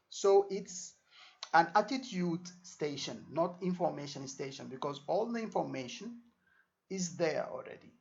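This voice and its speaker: Man tutoring, creaky voice, dull recording. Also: on the high side. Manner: repetitive, checks understanding with short tags. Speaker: exam tutor. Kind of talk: informal spoken lesson. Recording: dull lo-fi microphone sound.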